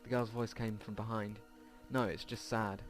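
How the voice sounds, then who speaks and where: A man's voice: on the low side, flat delivery, normal speed. A man hosting a let's play, reading visual novel text aloud and stumbling over words now and then. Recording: game music behind the voice. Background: game music.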